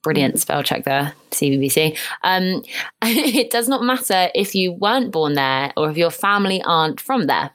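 A young woman from the UK co-hosting a podcast in casual chat, talkative and quick to laugh. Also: on the low side, animated, a bit bright. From United Kingdom. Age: young adult. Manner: chatty, reads out quotes, laughs often. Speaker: podcast co-host.

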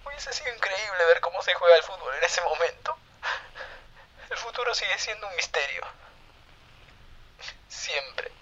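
A man's voice: sits high, lively intonation, normal speed, long pauses, a bit bright, slightly rough, exaggerated voice. An actor playing a sentimental Argentine man confiding in his best friend through a recorded message in an audio drama. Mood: wistful, apologetic. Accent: Argentine, Rioplatense. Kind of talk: intimate recorded-message monologue in audio fiction. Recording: slightly dull, lo-fi recorded message.

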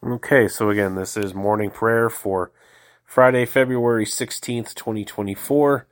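A man with a slightly low voice leads a daily prayer service, reading the liturgy in a calm, devotional way with slow pauses. He sounds stuffy from a head cold. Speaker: podcast host leading daily prayer.

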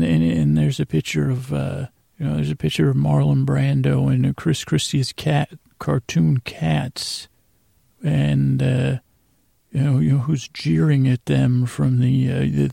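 A man with a gravelly voice hosts a bedtime podcast, droning along in a meandering, halting monologue full of tangents. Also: on the high side, warm tone, no echo, clean studio sound. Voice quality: creaky, gravelly. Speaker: podcast host.

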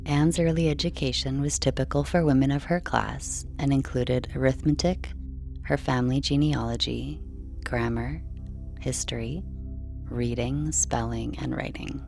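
A woman reading a scripted bedtime story in a slow, measured, soothing delivery. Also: extremely low pitch, fairly even intonation, gravelly. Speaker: sleep story narrator. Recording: soft music behind.

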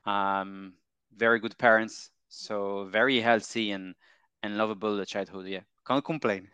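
A man in his thirties with a French accent, speaking in a smooth, resonant voice that sounds close and dry. He talks his way through answers with pauses, fillers and repeated words. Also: lowish voice, fairly even intonation, pushed and bright, dry recording. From France. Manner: hesitant, repeats words and phrases, many fillers. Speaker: mental coach. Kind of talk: relaxed interview answers.

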